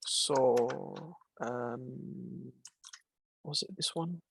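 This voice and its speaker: A man's voice: medium pitch, drawn-out words, creaky, fairly bright tone, breathy edge, dry recording. A man with a Malaysian accent, answering viewers' questions about trading in a casual, chatty way full of fillers and restarts. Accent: Malaysian English. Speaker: trading mentor. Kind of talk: live-streamed trading Q&A.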